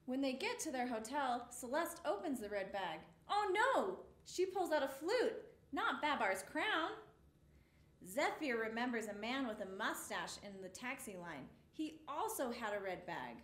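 A woman in her thirties reads a picture book to little children, her high voice bouncing all over with expression. The sound rings a bit in the small studio, picked up from across the room. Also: measured pace, reverberant. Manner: expressive, exclamations for the story. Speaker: storytime reader. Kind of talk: reading a picture book aloud to young children. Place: small indoor studio with a rainbow mural.

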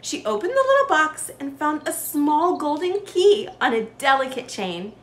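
A young woman reading a children's story aloud with expressive, sing-song emphasis. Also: high voice, moderate pace, echoey room.

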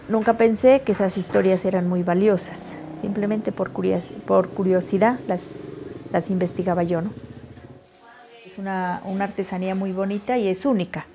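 A woman's voice: mid-range voice, mellow, a little hiss or hum. A middle-aged woman from Putumayo, Colombia, a weaver earnestly explaining her craft in a documentary interview.

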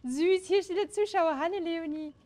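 Young female streamer, high bright register, playful and giggly, close desk mic with light music under her. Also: moderate pace. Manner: giggly, playful, thanks viewers. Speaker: streamer host. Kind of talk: casual gaming stream chat. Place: home streaming room. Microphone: headset and desk mic.

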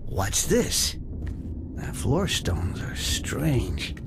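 A man voicing a cunning, sardonic goblin thief in a video game, with a gravelly, wry delivery. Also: mid-range voice, sing-song, very slow, noisy background, full character voice. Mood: musing. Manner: sarcastic, wry, muttering to himself. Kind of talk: video game character acting.

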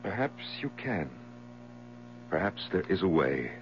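Deep-voiced, earnest gentleman friend. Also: big pitch swings. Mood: calm, firm. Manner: formal, earnest, deliberate. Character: steady, concerned gentleman friend, reasoning and firm. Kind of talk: 1970s radio drama acting. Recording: muffled, noisy 1970s radio broadcast with hum.

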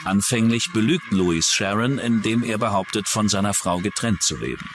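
Low-pitched narrator, lively male delivery. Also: normal speed, background noise. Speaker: documentary narrator. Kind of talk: scripted true-crime narration.

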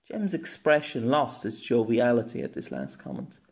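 Man narrating fantasy audiobook, medium pitch. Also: huge pitch swings, normal speed, vocal fry. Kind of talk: scripted fantasy story narration.

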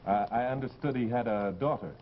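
A man's voice: mid-range voice, a little fast, lightly acted. A man in his thirties playing a polite, earnest young man carefully probing for information in a vintage television drama, speaking hesitantly. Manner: hesitant, courteous, many uhs. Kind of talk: 1950s television drama acting. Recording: dull, noisy old TV soundtrack.

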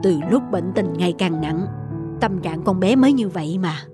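A middle-aged cartoon dad, gentle and weighed down by worry. He talks in a measured, downcast way, with faint music behind him. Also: high voice, animated. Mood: worried, sorrowful. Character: worried, gentle father of a gravely ill daughter. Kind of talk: cartoon dubbing.

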